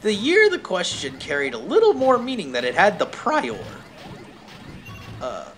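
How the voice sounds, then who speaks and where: A man's voice: sits high, wildly swooping pitch, unhurried, fairly bright tone, soft background music. A man reading fan fiction aloud on a stream with wry, casual asides, often interrupting himself, some noise in the background. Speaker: streamer, host.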